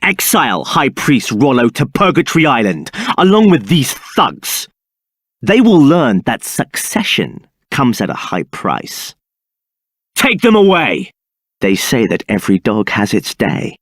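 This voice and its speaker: A haughty scheming templar captain. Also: male voice, mid-range voice, wildly swooping pitch, measured pace, no echo, studio quality, full character voice. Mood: triumphant. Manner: haughty, condescending, silky. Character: scheming arrogant male templar captain, adult. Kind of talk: video game voice acting.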